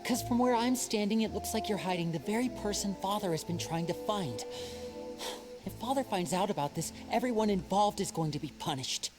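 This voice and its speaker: A charming young stage magician in a game, smooth and quietly probing, with a gently teasing edge as he presses his little brother for answers. Soft game music runs faintly under his voice. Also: sits high, lively intonation, brisk. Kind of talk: video game voice acting.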